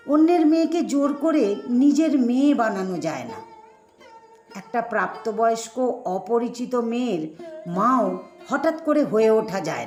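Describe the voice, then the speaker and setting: A woman voicing a retired Bengali mother-in-law, quietly disapproving and self-restrained, in an expressive, conversational story reading. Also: fairly high pitch. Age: older. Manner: conversational, expressive, rhetorical questions. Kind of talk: first-person dramatic story reading. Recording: echoey voice over sitar music.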